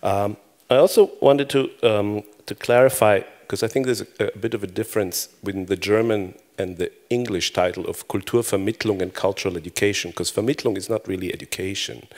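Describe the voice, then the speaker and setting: Middle-aged man, native Hebrew speaker, lowish voice, chatty and self-deprecating keynote with restarts. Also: darkish tone. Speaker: conference speaker. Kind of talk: conference talk. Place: conference hall before an audience.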